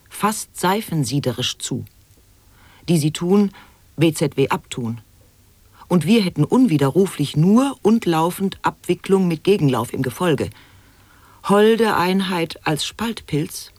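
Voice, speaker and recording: An actress performing a solemn, deliberate monologue of absurd wordplay in a radio play, measured, incantatory and precisely articulated. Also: female voice, low-pitched, a little mellow, no echo, heavily acted. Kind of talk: avant-garde radio play recitation of sound poetry.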